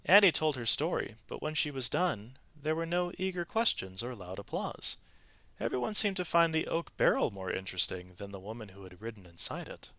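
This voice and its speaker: A man narrating a children's story aloud in an expressive, animated way, with varied emphasis from phrase to phrase. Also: medium pitch, brisk, creaky, dry recording.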